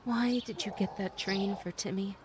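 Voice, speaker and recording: An actress playing a gentle, guarded young mother, proper and hesitant, in an old-time radio drama. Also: medium pitch, steady tone, moderate pace, a little hiss or hum, natural voice. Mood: hesitant, warm.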